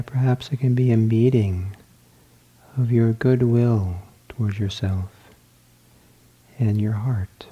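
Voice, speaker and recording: Slow, soft-spoken man guiding meditation. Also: medium pitch, slightly rough, muffled recording. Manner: slow, soft, trailing into whispers. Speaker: meditation teacher. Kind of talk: guided loving-kindness meditation.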